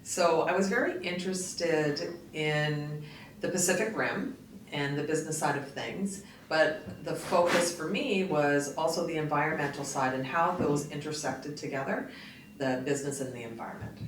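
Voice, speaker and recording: A woman's voice: low voice, normal speed. A woman raised in Canada after a childhood start in the Bahamas, telling her story in a reflective way. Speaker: guest, co-founder. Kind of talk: casual interview. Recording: roomy, distant mic, faint noise.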